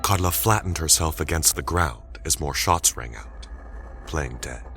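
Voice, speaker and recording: A male storyteller with a very low voice and expressive, wide-ranging intonation. He reads scripted fiction with suspenseful, deliberate phrasing. Music plays clearly behind him. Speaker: storyteller, narrator. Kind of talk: dramatic scripted narration.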